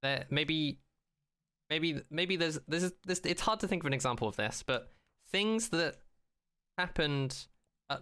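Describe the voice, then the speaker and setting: Male podcast co-host, crisp and bright, loose rambling banter, informal and jokey. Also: a little high. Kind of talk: casual banter.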